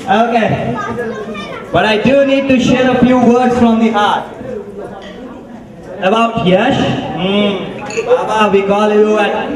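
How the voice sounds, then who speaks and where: A young man with an Indian English accent and a loud voice, giving a welcome speech at his brother's wedding in a large hall. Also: high voice. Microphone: distant, picked up from far off. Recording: echoey hall from far off with crowd. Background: guests murmuring.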